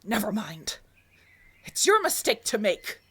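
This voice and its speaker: A woman playing a loyal, resigned attendant in a fantasy audio roleplay, speaking quietly with frank, earnest disappointment. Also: mid-range voice, big pitch swings, unhurried, slightly rough, heavily acted. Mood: disappointed.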